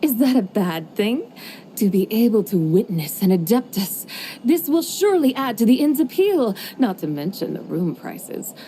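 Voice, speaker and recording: A woman's voice: on the high side, big pitch swings, normal speed, mellow, slight background noise, rough recording. A woman voicing an ancient, playful female horse spirit who likes to show off, speaking in archaic, poetic phrasing with a wry, amused tone.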